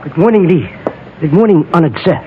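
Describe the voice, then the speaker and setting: A man's voice: a little high, animated, brisk, close to an everyday voice. A man playing a respectful Chinese miner with a Chinese accent and clipped, broken English in a radio drama. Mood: deferential. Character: respectful, eager Chinese male gold miner. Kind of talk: old-time Western radio drama acting. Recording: tinny, noisy old radio recording.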